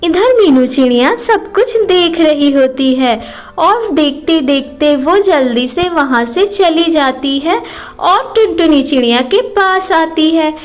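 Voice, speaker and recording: A woman's voice: high-pitched, animated, takes it slowly, soft background music. A woman narrating a scripted children's cartoon story.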